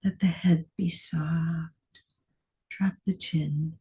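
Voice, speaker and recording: A woman meditation teacher leading a guided meditation in soft, gentle short phrases with long pauses, sometimes trailing into a breathy near-whisper. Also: sits low, steady tone.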